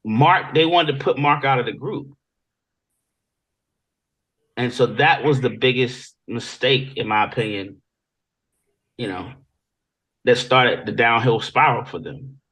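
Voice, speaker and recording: A man's voice: medium pitch, a little slow, pauses often, fairly bright tone, husky, poor audio. An American man from Virginia, speaking casually and conversationally as an interview guest telling stories. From Virginia Beach, Virginia, United States. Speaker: interview guest, music industry veteran.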